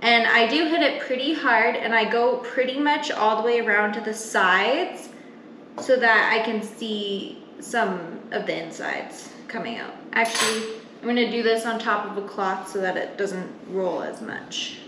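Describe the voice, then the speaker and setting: A young woman talks through a cooking demo at a slightly unhurried pace. Her delivery is informal and explanatory, recorded in a roomy, echoey kitchen with some noise behind her. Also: on the high side. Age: young adult. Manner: informal, explanatory, talking while working with her hands. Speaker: home cooking vlogger. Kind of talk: casual step-by-step cooking demonstration. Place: home kitchen, indoors. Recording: roomy kitchen echo, clear background noise.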